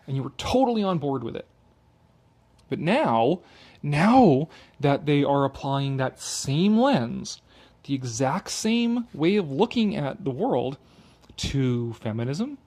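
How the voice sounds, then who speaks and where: A man's voice: mid-range voice, warm tone. A man giving a sarcastic, exasperated off-the-cuff commentary, emphatic and freely swearing, a little tipsy. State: tipsy from drinking. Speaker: video commentator.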